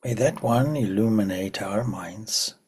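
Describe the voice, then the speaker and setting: Slow, husky-voiced man guiding meditation. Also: lowish voice. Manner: slow, soft, prayerful delivery with whispered endings. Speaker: meditation teacher. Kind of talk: guided meditation.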